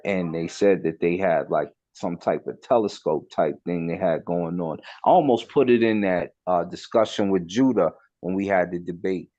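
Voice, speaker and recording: A man in casual group chat on a stream, talking in a relaxed, drawling way. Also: deep voice, moderate pace, few pauses, dry recording, lo-fi sound. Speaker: panelist.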